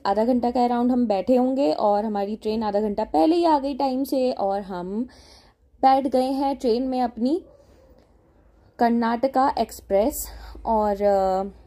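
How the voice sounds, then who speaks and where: A young woman narrating her travel vlog in a casual, chatty way, with filler pauses. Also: fairly high pitch, mellow. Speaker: travel vlogger.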